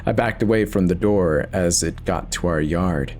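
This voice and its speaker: A man's voice: sits low, moderate pace, darkish tone, a little hiss or hum. A man giving an intense, theatrical first-person reading of a horror story as its narrator.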